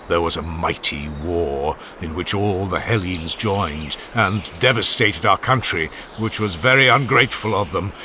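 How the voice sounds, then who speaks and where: A man's voice: medium pitch, pushed and bright, a little hiss or hum. A man reading an ancient funeral oration aloud as an audiobook narrator, with solemn, declamatory delivery and strong swings in emphasis.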